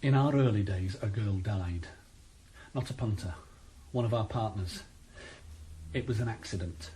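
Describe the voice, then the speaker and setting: A smug, coolly menacing drug dealer, played by a man in an old radio drama. He sneers his words with calm threat. The room echoes, with a faint hiss behind. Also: on the low side, moderate pace, lightly acted. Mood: boastful. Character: smug male cocaine dealer, menacing and cool. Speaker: villain in radio play. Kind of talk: 1980s radio drama acting.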